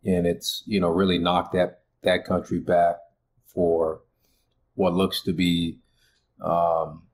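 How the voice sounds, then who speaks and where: A man's voice: low-pitched, a little hiss or hum. A man in his forties, a college basketball head coach from Liberia, speaking earnestly in a podcast interview with many "you know" and "uh" fillers. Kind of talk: podcast interview, reflective storytelling.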